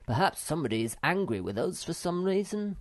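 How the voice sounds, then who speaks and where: A man voicing an earnest young male troll who leads his friends, in a raised, brighter voice. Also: medium pitch, big pitch swings, fairly quick, voice pushed up.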